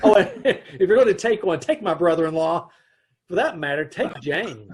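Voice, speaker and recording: A man's voice: sits high, animated, moderate pace, slightly rough. A man narrating and playing a dry, joking husband in an old-time radio comedy recreation. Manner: dry wry delivery with chuckles. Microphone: home mic on video call.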